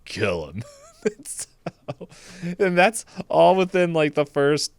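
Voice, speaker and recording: Man rambling through a clear-voiced comic recap. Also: on the high side, very animated, takes it slowly. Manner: rambling, acts out characters' lines in paraphrase; laughs through the telling. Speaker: podcast co-host recapping a movie. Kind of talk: casual chat, comedic movie recap.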